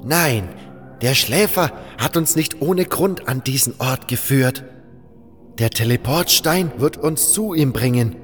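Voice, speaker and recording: A man's voice: medium pitch, lively intonation, takes it slowly, in a large hall, soft background music, pitched above the performer's own voice. A man voicing a haughty, fanatical cult priest in a fantasy audio drama, proclaiming solemnly and scornfully. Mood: solemn, insistent.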